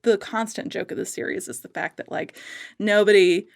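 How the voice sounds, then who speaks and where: Creaky-voiced woman chatting on a podcast. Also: medium pitch, fairly even intonation, no room sound, clean studio sound. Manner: halting, rambling, thinking aloud. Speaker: podcast guest. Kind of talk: casual podcast chat.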